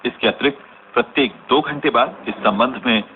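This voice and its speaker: A man's voice: mid-range voice, lightly acted. Tinny radio newsreader played by a man. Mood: formal, grave. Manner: formal, measured announcing. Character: formal male radio newsreader announcing a grave bulletin. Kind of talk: scripted Hindi news bulletin in radio drama. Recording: noisy, tinny narrow-band radio recording.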